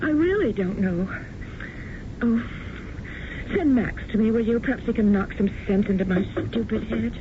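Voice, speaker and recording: A woman's voice: a little high, moderate pace, noisy background, close to an everyday voice. An actress playing a frightened stage actress in her forties in a 1970s radio drama, anxious and pleading. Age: 40s. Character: frightened celebrated actress of forty. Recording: muffled old radio broadcast with music.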